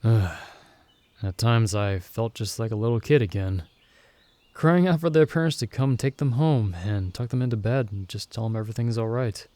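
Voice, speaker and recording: A man playing a caring, reassuring young male friend in an ASMR comfort roleplay, speaking gently with hesitant, soothing pauses. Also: on the low side, dry recording, close to an everyday voice. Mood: reflective, wistful. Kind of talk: ASMR comfort roleplay, intimate acted monologue.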